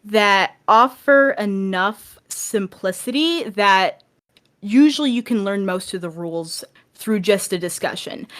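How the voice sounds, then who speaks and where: A woman guest on a podcast, chatting casually about tabletop games and thinking aloud in a halting way. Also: mid-range voice, fairly bright tone.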